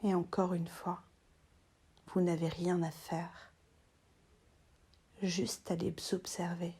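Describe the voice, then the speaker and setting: A woman guiding a relaxation meditation as a yoga teacher, in a soft, breathy, half-whispered voice, soothing and unhurried with long pauses between short phrases. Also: fairly low pitch, little pitch movement.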